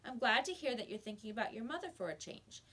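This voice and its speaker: Woman reading as calm, stern old shopkeeper, animated intonation. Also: on the high side, breathy edge, heavily acted. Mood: firm, moralizing. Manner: measured, didactic.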